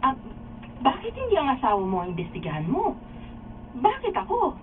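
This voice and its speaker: A woman playing a suspicious, determined wife in a radio drama rehearsal, reading her lines deliberately with a Filipino accent. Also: high voice, wildly swooping pitch. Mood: determined, accusing. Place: radio recording studio. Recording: muffled phone-like audio with background noise.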